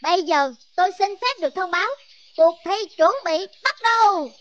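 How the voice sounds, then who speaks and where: A woman voicing a feisty, chatty small female bird in a children's cartoon, sassy and expressive. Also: very high-pitched, moderate pace, dull audio. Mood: announcing.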